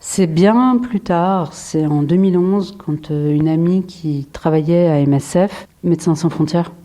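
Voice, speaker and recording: A woman in her forties, from France, a photographer answering interview questions in a reflective way, with frequent pauses, fillers and restarts. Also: lowish voice, dark tone. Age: 40s. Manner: hesitant, many fillers and restarts.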